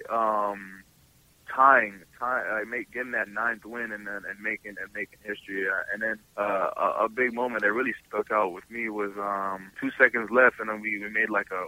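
A young man in his early twenties answers interview questions as a college athlete. His voice is fairly low and strikingly bright and forceful, recorded close and dry. He talks casually and haltingly, doubling back on words as he goes. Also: steady tone, dry recording, rough recording. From Toronto, Canada. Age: early 20s. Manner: halting, repeats words and restarts often. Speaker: college football player being interviewed.

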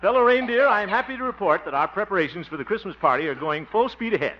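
Blustery, swooping jovial club organizer. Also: male voice, sits high, expressive, fast. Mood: hearty, blustery. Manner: hearty, blustery, chuckling. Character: jovial, self-pleased middle-aged small-town club organizer. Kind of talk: 1950s radio comedy acting. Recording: noisy, muffled old radio recording.

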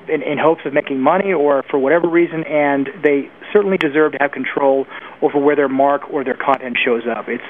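Man on a tinny phone line. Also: fairly high pitch. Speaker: interview guest, internet industry professional. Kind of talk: conversational interview answers. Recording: narrow phone-like line with faint noise.

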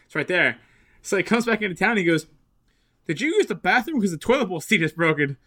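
A man co-hosting a podcast in casual, crude banter, telling stories in a profane, excitable way. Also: sits high, fast talker. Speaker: podcast co-host.

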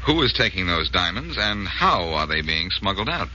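An actor playing a confident, wisecracking amateur detective with a brisk, sardonic manner in 1940s radio drama style. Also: male voice, sits low, very animated. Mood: cool, amused. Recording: muffled, hissy old-time radio broadcast.

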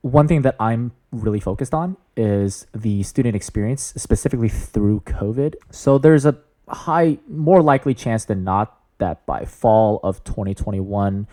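A man in his thirties with a booming voice, talking earnestly and enthusiastically about student leadership in an interview. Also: a little slow, dark tone. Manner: earnest, upbeat, enthusiastic with frequent fillers. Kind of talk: interview answers.

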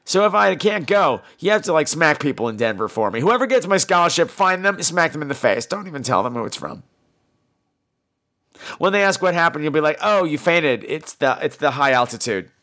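A man's voice: fairly high pitch, animated, brisk, bright, forceful tone. A man hosting a podcast in casual chat, with a campy, sassy, profane way of talking. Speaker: podcast host. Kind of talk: casual solo chat, answering listener calls.

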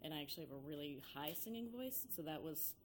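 A woman's voice: low-pitched, animated, quick pace, slight background noise. A young woman, a violinist and singer, chatting in an interview with many fillers. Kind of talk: casual interview chat.

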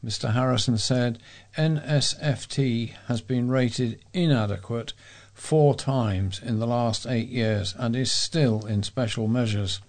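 A man's voice: mid-range voice, slow, darkish tone. Man reading local news. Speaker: volunteer newsreader for a talking newspaper. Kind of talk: read-aloud local news.

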